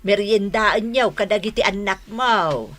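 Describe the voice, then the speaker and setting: An actress playing a friendly neighbourhood food vendor in a radio comedy drama, coaxing and exclamatory. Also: female voice, medium pitch, unhurried, fairly bright tone, lightly acted. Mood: coaxing sales pitch.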